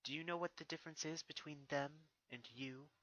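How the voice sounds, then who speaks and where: A man's voice: on the high side, fairly even intonation, brisk, bright, forceful tone, no room sound, voice pushed up, low-quality recording. A man voicing a regal, stern female pony princess who speaks in formal, solemn speeches with a wounded resolve. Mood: firm, reproachful.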